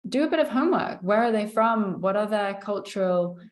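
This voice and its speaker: A woman's voice: mid-range voice, expressive, brisk. A British woman hosting a podcast interview in a conversational style. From England. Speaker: podcast host.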